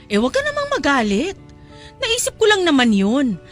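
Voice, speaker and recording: Animated, fairly high actress as middle-aged mother, scheming, coaxing, pushy, radio-style drama. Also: moderate pace, soft background music. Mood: persuasive. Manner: coaxing, insistent.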